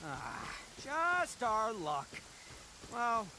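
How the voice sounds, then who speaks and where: Brash young braggart for a video game: high, very animated, cocky and theatrical. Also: male voice, drawn-out words, a crowd in the background, heavily acted. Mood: eager. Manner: cocky, theatrical, laid-back slang. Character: brash, boastful young man, overconfident beetle-fighting enthusiast.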